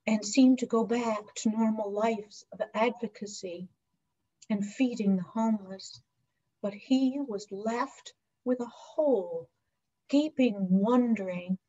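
A woman's voice: medium pitch, darkish tone. A middle-aged woman deacon giving a reflective sermon over a video call from home. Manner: measured, reflective preaching. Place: home video call with virtual scenic backdrop. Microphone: webcam microphone on a video call. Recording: dull lo-fi video call audio.

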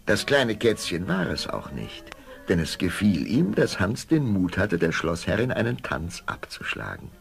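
A man's voice: deep voice, big pitch swings, measured pace, creaky, breathy edge, heavily acted. A man narrating a fairy tale as the storyteller of a scripted radio play. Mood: storytelling.

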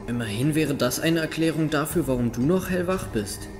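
A man's voice: fairly high pitch, animated, moderate pace, natural voice. A man playing an earnest, thoughtful young acolyte healer in a fantasy audio drama. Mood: thoughtful, uneasy. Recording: long cave-like reverb, loud music.

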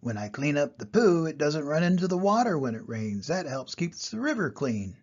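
An older man voicing a cheerful, hardworking male dung beetle in a storybook, explaining his job in a husky, gravelly voice. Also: a little high, big pitch swings, normal speed, dull audio. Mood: earnest.

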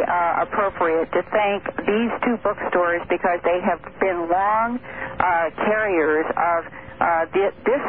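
A woman speaking as a guest researcher on a radio show, earnest, measured and articulate, with formal wording. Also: fairly low pitch. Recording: noisy narrow-band telephone radio line.

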